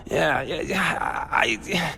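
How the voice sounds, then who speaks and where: A man playing a slippery, cornered crime boss in a video game, gravelly, blustering and stammering as he bargains. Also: fairly high pitch, takes it slowly, sharp tone, full character voice. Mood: nervous wheedling. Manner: stammering, bargaining, tacks "huh?" on questions. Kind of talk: video game cutscene acting.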